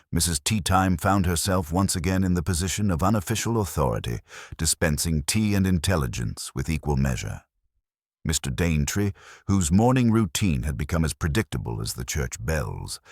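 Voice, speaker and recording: A man narrating a scripted mystery story in a measured, formal, literary manner. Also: a bass voice, dry recording, studio quality.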